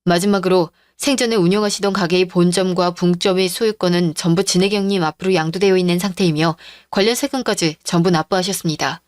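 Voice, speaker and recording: A woman voicing a calm female lawyer in a radio drama, speaking in a formal, businesslike way. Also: lowish voice, dry recording, close to an everyday voice. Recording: dry studio voice-over with faint music.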